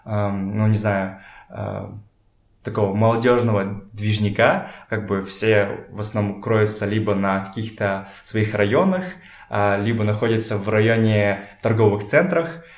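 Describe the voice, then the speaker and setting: A man's voice: lowish voice, fairly even intonation, unhurried. A young man from Kazakhstan telling stories casually as a podcast guest, informal and slangy, thinking aloud. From Kokshetau, Kazakhstan. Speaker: podcast guest, photographer and art director. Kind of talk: casual conversational storytelling. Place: indoors in a home. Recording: echoey room.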